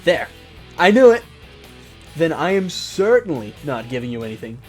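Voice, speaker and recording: A woman with an exceptionally low voice hosts a casual let's-play. She moves between playful asides and narrating a visual novel out loud. Guitar music plays clearly behind her. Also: very animated, normal speed. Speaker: host of a gaming show. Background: guitar game music.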